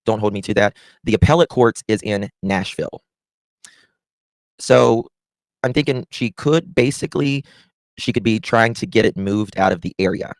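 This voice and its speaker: A man hosts a casual livestream in a slightly low voice. He talks in a chatty, halting way and often repeats a phrase to stress it. The sound is very dry, with little trace of the room. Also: no echo. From Southern United States. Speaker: livestream host.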